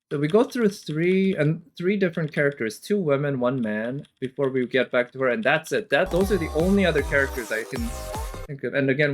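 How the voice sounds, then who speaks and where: A man's voice: medium pitch, fairly bright tone. A man giving casual, off-the-cuff commentary as a streamer, analytical and hesitant as he thinks aloud. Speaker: reaction streamer.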